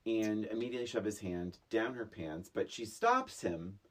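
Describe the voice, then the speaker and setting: A woman co-hosting a podcast, casually discussing a film in a halting, conversational way and acting out lines she quotes. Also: rumbling low. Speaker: podcast co-host.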